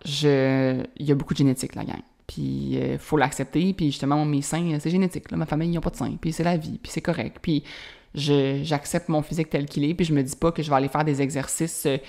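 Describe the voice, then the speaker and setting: A woman's voice: sits low, flat delivery, fairly quick, vocal fry, darkish tone. A woman in her thirties with a Quebec French accent, hosting a solo podcast in a casual, chatty way full of fillers and English slang. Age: 30s. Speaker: podcast host.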